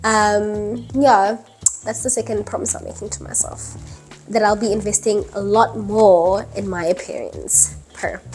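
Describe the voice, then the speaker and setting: A young woman in her early twenties chats straight to camera in a relaxed vlog style. She fills her sentences with "um" and "like" and laughs lightly now and then. Faint music plays quietly behind her voice. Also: mid-range voice, poor audio. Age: early 20s. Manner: chatty, many fillers like "um" and "like", laughs lightly. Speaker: vlogger. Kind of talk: casual talking-head vlog. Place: indoors at night, dim lighting.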